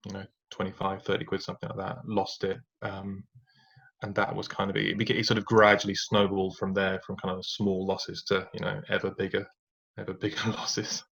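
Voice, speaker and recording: A man's voice: deep voice, monotone, fairly quick, creaky, a bit bright, breathy edge. A man, a podcast guest, telling his personal story in a reflective way with fillers and restarts. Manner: reflective, many fillers and restarts, occasional rueful laughs. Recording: dull lo-fi sound.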